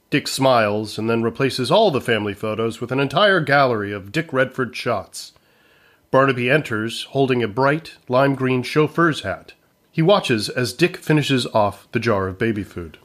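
A man narrates a scripted audio comedy. His voice is of middling pitch, and he reads in a measured, matter-of-fact manner.

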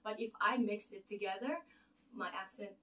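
A woman's voice: mid-range voice, steady tone, moderate pace, sharp tone. A middle-aged woman with a Filipino accent, giving an earnest, rambling faith talk to camera with many fillers and restarts in her living room. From Philippines. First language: Tagalog. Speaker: channel host. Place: living room with a lit Christmas tree. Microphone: camera across the room. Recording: distant camera mic in a room.

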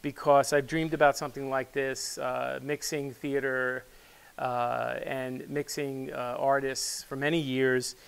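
A man in his sixties giving an unscripted product presentation in a measured, halting way, pausing mid-phrase. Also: medium pitch, creaky, fairly bright tone, smooth. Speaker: loudspeaker company presenter and product developer. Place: small wood-panelled studio, indoors. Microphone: lapel mic.

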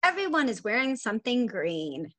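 A woman in her forties, a preschool teacher, reading a picture book aloud to young children in an expressive, sing-song manner. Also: on the high side, normal speed. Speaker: preschool teacher and story time host. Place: small indoor room at home.

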